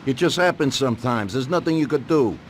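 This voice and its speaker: A calculating mafia boss, acted by a man for a game cutscene. He has a medium-pitched male voice and lays out orders with bitter, cold authority. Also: expressive, fairly quick, slight background noise, lightly acted. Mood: businesslike, firm. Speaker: video game voice actor. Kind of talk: dramatic cutscene acting.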